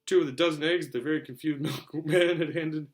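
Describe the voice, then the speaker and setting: A man narrating a children's novel aloud in an animated way, occasionally stumbling over words. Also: a little high, a little fast. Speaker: reader, show host. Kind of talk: amateur audiobook-style story narration.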